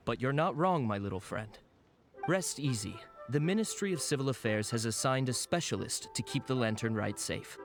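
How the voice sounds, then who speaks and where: A man's voice: medium pitch, lightly acted. A man voicing a formal, courteous government official in a video game. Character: formal, measured male government official, politely helpful.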